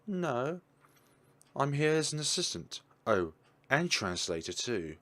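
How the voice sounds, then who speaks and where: A man's voice: mid-range voice, big pitch swings, slow, fairly bright tone, pitched above the performer's own voice, full character voice. A man voicing a polite, eager young woman scientist in a visual novel read-aloud. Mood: friendly.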